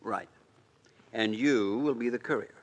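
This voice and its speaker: An actor playing a poised, cultured insurance executive in a 1940s radio drama. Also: male voice, mid-range voice, unhurried, natural voice. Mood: businesslike. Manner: polished, businesslike, to the point.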